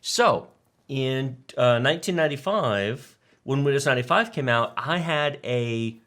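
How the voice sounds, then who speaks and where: Male co-host, 40s, casual live stream storyteller with very lively, swooping intonation and emphatic, enthusiastic delivery. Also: medium pitch, measured pace. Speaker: tech show co-host.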